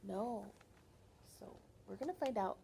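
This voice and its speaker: A woman reading a picture book aloud to young children as a librarian, animated and teacherly, often pausing to put questions to her listeners. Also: medium pitch, gravelly, a little hiss or hum.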